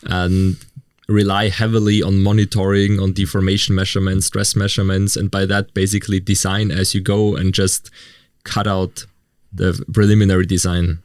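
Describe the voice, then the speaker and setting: Low, even-toned Austrian male interviewer. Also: normal speed, dry recording, clean studio sound. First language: German. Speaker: podcast host, researcher. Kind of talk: conversational interview questioning.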